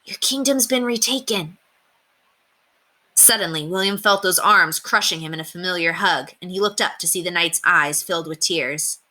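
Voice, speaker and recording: A woman reading a children's story aloud as an expressive storyteller. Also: lowish voice, a little fast, hardly any pauses.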